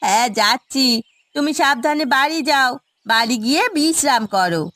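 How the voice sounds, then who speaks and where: Woman playing a high-voiced, chiding village neighbour. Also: animated, normal speed, a bit bright, dry recording. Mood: caring. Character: caring, firm village woman, grandmother's neighbour and friend. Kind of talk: Bengali cartoon voice acting.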